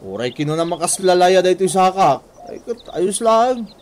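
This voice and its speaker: A man's voice: high voice, moderate pace. A man playing a jittery, joking village buddy in a radio comedy drama, stammering and repeating himself. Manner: excitable, stammering repetitions.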